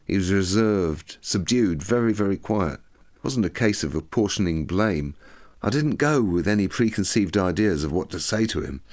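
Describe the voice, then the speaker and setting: A man's voice: low-pitched, animated, normal speed, a little mellow. A man voicing a reflective, plain-spoken man giving earnest personal testimony in an audiobook. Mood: sober, candid.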